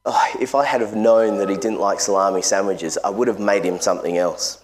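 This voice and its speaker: A regretful widow at a funeral, as a male preacher quotes her, in a low, plain voice. She sounds sorry. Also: fairly even intonation, a little fast, lightly acted. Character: grieving Italian widow.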